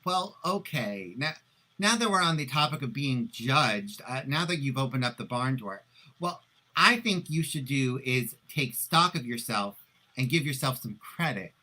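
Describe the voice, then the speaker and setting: An actor plays a cheating husband in a stage comedy, with a bright, tense, sharp-edged voice. He is evasive and panicky, hedging and then pushing hard on his words. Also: medium pitch, expressive, a little slow. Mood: persuasive. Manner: hesitant hedging that swells into loud emphatic outbursts. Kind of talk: live play reading, comedic stage acting.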